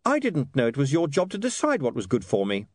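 A man voicing a defiant young newspaperman with sharp, quick comebacks. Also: mid-range voice, huge pitch swings, no echo, pitched above the performer's own voice.

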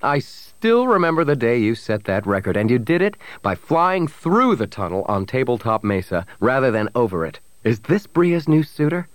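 A man voicing an eager, well-off gentleman, gushing and courteous, in a story. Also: mid-range voice, big pitch swings, moderate pace, few pauses, no room sound, lightly acted. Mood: effusive. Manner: effusive, courteous.

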